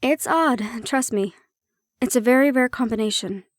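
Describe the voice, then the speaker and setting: A woman's voice: a little high, normal speed, dry recording, studio quality, close to an everyday voice. A woman playing a prickly, guarded banshee-siren hybrid in an intimate audio roleplay, explaining herself conversationally with some teasing. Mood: candid, wry.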